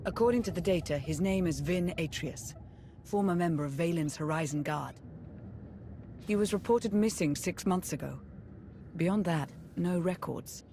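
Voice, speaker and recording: An actress playing a cautious, determined military adviser in a video game, giving serious, measured briefings. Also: female voice, lowish voice, mellow, slight background noise, natural voice. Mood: matter-of-fact. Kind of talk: dramatic video game cutscene acting.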